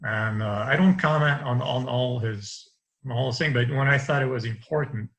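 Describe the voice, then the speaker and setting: A man's voice: medium pitch, normal speed, a bit bright. A man in his fifties with a light French accent, French his first language, talking casually as a guest on a video call from a home study, rambling with restarts and light laughs. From France. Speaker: interview guest. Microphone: video call webcam.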